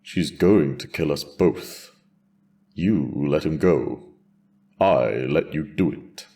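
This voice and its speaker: Slow, very deep male dragon voiced by a man. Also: mellow, exaggerated voice. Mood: wry, fond. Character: wise, steady male dragon, bonded to his rider. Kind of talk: cinematic fantasy audio drama.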